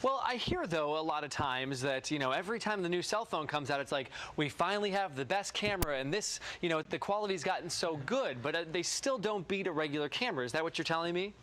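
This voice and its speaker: A man's voice: fairly high pitch, animated, fast talker, hardly any pauses, a bit bright, a little hiss or hum. A man hosting a morning talk show, interviewing in an upbeat presenter style.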